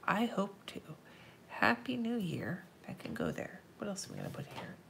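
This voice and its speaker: A woman's voice: medium pitch, huge pitch swings, normal speed, gravelly, fairly bright tone, husky, whispered. A woman with a stuffy, nasal voice, chatting casually and muttering to herself while decorating a planner on a livestream, answering viewers and swearing now and then. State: congested, nasal from a stuffy nose. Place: indoors at a desk.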